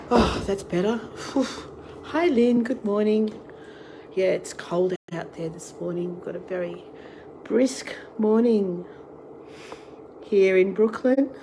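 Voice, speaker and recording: A woman's voice: mid-range voice, mellow, rough recording. A middle-aged Australian woman with a cold and a fading voice, chatting casually as she hosts a live cooking stream and greets each viewer who joins. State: has a cold, losing her voice. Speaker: online tour and cooking class host. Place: outdoor deck, then home kitchen. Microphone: device camera. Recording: wind and ambient noise outdoors.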